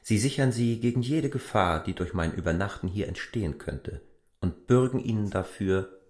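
An actor playing a self-assured land surveyor in a radio drama, speaking formally and insistently. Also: male voice, lowish voice, animated, normal speed, a little mellow, lightly acted. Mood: insistent persuasion.

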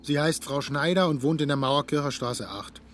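A man's voice: a little high, normal speed, a bit bright, a little hiss or hum, natural voice. An actor playing a smug, self-absorbed young sportsman who chats away boastfully about himself in a German audio drama, with little hums between phrases. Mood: casual bragging.

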